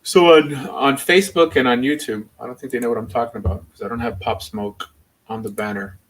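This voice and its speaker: A man's voice: mid-range voice, steady tone, fairly quick, a bit bright, rough recording. A man speaking casually as a rabbi and livestream host, rambling and opinionated in an informal way. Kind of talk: casual livestream chat and debate.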